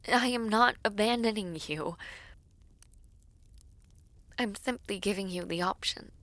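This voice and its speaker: A woman playing a devoted princess in an audio roleplay, tender and formal, with measured, hesitant phrasing. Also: medium pitch, a bit bright, dry recording, lightly acted. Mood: tender, earnest. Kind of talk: intimate audio roleplay acting.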